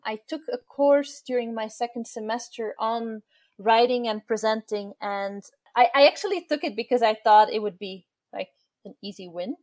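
A woman, not a native English speaker, who works as a university researcher, explaining things in a calm, measured way. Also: on the high side, no room sound. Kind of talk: casual conversation.

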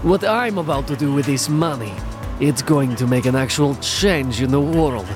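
A man playing a taunting, grandiose supervillain in a superhero audio drama, with theatrical, pompous, drawn-out delivery. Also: mid-range voice, music in the background, lightly acted. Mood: scornful, grandiose.

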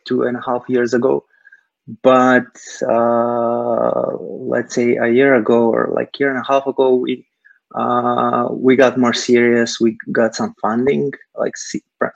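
A man from Serbia, answering interview questions haltingly over a video call, filling pauses with lots of uh. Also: mid-range voice, fairly even intonation, creaky, dry recording, dull audio. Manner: hesitant, many uh fillers. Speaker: guest, startup technical founder.

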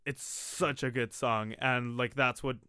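Smooth-voiced young man. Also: medium pitch, fairly even intonation, unhurried, bright, forceful tone, no echo, studio quality. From Colombia. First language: Spanish. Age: young adult. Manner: chatty, many "like" fillers. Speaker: guest. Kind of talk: casual interview chat.

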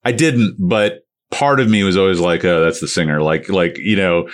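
Very deep-voiced rambling male host. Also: normal speed. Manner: rambling, many restarts and fillers. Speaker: podcast host. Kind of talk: casual interview.

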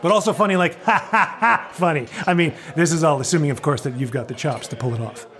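A man's voice: a little high, quick pace, fairly bright tone, close to an everyday voice. An actor playing a pushy, glib theatre adviser in a comic podcast play, flippant and condescending like a salesman. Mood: selling, coaxing. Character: pushy, glib male theatre pro giving advice.

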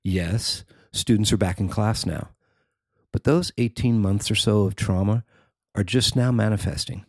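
Male narrator, middle-aged, deep and gravelly, reading a personal feature in a very dry recording. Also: unhurried, dry recording. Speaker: writer and narrator.

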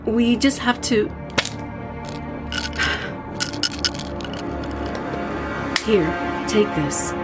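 A woman's voice: mid-range voice, expressive, drawn-out words, pauses often. A woman playing an anxious young friend in a horror audio drama, hesitant and consoling, over scary music. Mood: soothing, subdued. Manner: hesitant, soothing.

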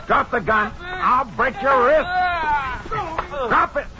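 A dull old radio recording with crowd sound behind it carries a stern Texas lawman. He is laconic and commanding, with a widely varying pitch in period drama style. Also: lively intonation, takes it slowly, exaggerated voice. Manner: dry, commanding. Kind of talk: 1950s radio drama acting. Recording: muffled old radio recording, busy background.

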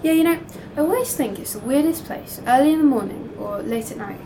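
A woman's voice: high voice, animated, brisk. A woman playing a chatty, sceptical railway worker in an amateur audio drama. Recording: clear background noise and ambience.

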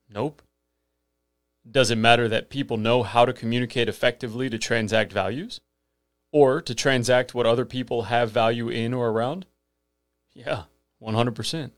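A man's voice: mid-range voice, dry recording, studio quality. Earnest millennial man hosting a podcast. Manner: earnest, rhetorical, emphatic lists. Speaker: podcast host. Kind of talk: scripted podcast monologue.

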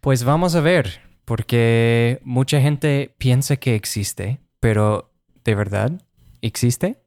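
Man speaking Spanish slowly. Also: medium pitch, darkish tone, no room sound, studio quality. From United States. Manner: hesitant, searching for words with fillers. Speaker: podcast co-host. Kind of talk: casual chat for learners.

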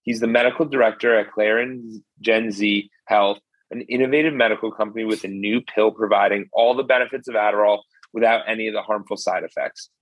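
A man's voice: lowish voice, steady tone, brisk, dry recording, rough recording. A man hosting a podcast, greeting listeners and interviewing a guest in an upbeat, casual way. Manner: upbeat, casual, "what's going on guys". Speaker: podcast host.

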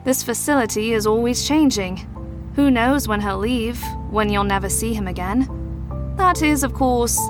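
A woman playing a shrewd, teasing adult mentor to troubled teens in an audio drama, with a measured, knowing, probing delivery. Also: on the high side, over music, close to an everyday voice. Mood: knowing, confident.

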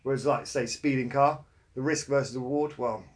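A man co-hosting a podcast, easygoing and agreeable, thinking out loud in casual chat. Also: medium pitch, steady tone, moderate pace. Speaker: podcast co-host.

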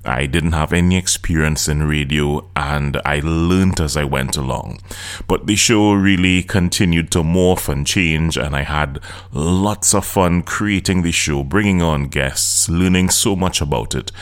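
A middle-aged host with a Trinidadian accent talks straight to his listeners on his own podcast. His voice sits extremely low, and he explains things in an earnest, coaching manner. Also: a little slow. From Trinidad and Tobago. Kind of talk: solo podcast talk.

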